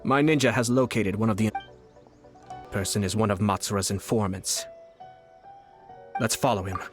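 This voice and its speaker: An actor playing a calm, scheming young noble strategist who speaks in a smooth, measured way. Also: male voice, lowish voice, natural voice. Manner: smooth, measured, quietly calculating. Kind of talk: game cutscene voice acting. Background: soft game music.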